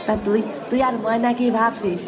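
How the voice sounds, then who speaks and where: A performer voicing a shy, stammering food-stall cook. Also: female voice, on the high side, fairly even intonation, brisk, over music, crowd noise, close to an everyday voice.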